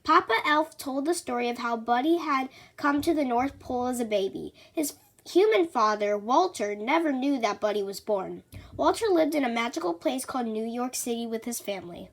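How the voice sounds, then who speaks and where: Female storybook reader, high-pitched, very lively intonation, children's read-aloud narration. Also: normal speed.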